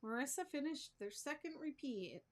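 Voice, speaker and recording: A woman's voice: high-pitched, animated, moderate pace. A woman chatting casually and informally, thinking aloud in a self-deprecating way as she hosts a crafting livestream. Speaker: crochet livestream host. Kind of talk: casual rambling chat with viewers while crafting.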